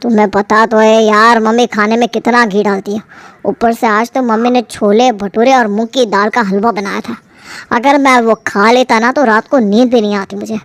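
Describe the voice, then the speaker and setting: Woman as a grumbling young man, fairly high. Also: steady tone, normal speed, dry recording, lightly acted. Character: disgruntled picky young adult son.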